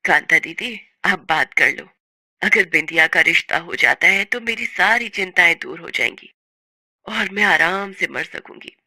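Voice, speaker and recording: A woman's voice: lowish voice, measured pace, sharp tone, no echo, exaggerated voice. A woman voicing an ailing, worried mother in a family drama story, weary, resigned and plaintive. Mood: plaintive hope. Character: ailing, worried poor mother of a marriageable daughter.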